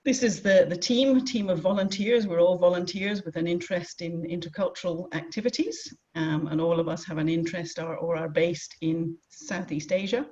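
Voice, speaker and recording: A webinar host opens the session and hands over to the first guest, pausing often on ums and restarting phrases. The voice sits at a middle pitch, and the call audio comes through dull and muffled. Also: steady tone, a little mellow. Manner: hesitant, with frequent ums and restarts. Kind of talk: hosting and introducing speakers. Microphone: video call.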